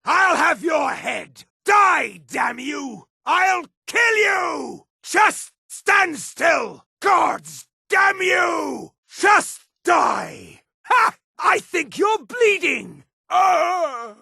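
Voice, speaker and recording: An actor voicing a furious male high elf warrior shouting combat lines in a fantasy video game, forceful and strained. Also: big pitch swings, takes it slowly, gravelly, few pauses, no room sound, studio quality, full character voice. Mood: furious, taunting.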